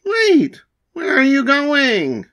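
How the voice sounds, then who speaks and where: A man voicing an excitable, easily alarmed schoolkid in a comic, exclaiming melodramatically. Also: very high voice, very slow, pitched above the performer's own voice, full character voice. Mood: excited, shocked.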